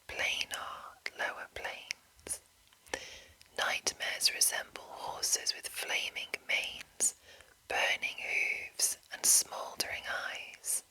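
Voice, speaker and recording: A woman whispering in a breathy, unvoiced voice, softly and deliberately reading aloud as an ASMR host. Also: rough recording. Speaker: ASMR video host and narrator.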